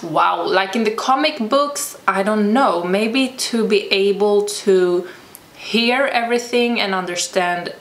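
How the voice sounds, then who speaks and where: A woman's voice: on the low side, fairly bright tone. A young woman from Sweden, a native Swedish speaker with a Swedish accent, answering viewer questions in a casual vlog, thoughtful and hesitant as she searches for words. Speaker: vlogger and personal trainer. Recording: echoey room with faint ambient noise.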